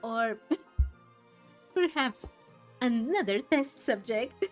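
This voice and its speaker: A woman's voice: on the high side, expressive, drawn-out words. A woman giving casual let's-play commentary as she hosts a gaming video. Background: game music.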